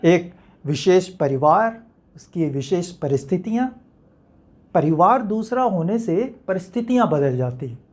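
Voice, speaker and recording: A man's voice: high-pitched, lively intonation, darkish tone. Man acting an enigmatic, brooding stage monologist. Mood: brooding, questioning. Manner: reflective, rhetorical, with deliberate pauses. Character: enigmatic, uncertain man philosophically addressing a theatre audience. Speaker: actor.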